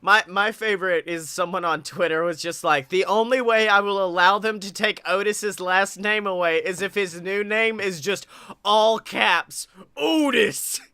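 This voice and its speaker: A young man hosting a casual wrestling podcast in an excitable, playful, hyped-up way. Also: high voice, measured pace, smooth, no room sound, clean studio sound. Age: young adult. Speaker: podcast host. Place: small room at home, on a video call.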